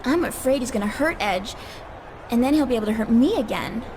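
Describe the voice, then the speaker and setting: High-voiced, scheming seductress. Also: female voice, lively intonation, a little fast. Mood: seductive coaxing. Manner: coaxing, sugary, impatient when crossed. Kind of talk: scripted video game cutscene acting. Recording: clear ambient noise behind voice.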